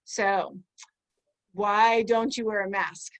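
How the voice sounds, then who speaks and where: A woman's voice: mid-range voice, slow, poor audio. A woman improv performer setting up a street-interview skit in a chirpy, giggly way. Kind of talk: improv comedy.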